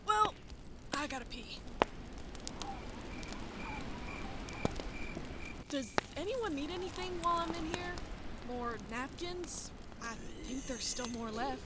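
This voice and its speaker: A woman's voice: high-pitched, lively intonation, normal speed, very noisy. A woman playing an emotional, raw young survivor in a horror comic dub. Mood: casual. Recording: loud music over the voice.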